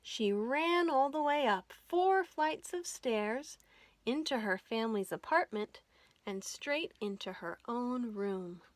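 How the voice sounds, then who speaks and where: A woman hosting a library storytime, reading a children's picture book aloud in an expressive, child-directed way. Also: a little high, slow pace, no room sound.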